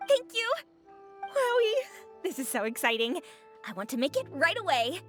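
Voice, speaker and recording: A woman voicing an eager, excitable girl in an anime-style video game, with a gushing manner. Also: high-pitched, moderate pace, faint music behind. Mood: excited. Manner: gushing, exclamatory.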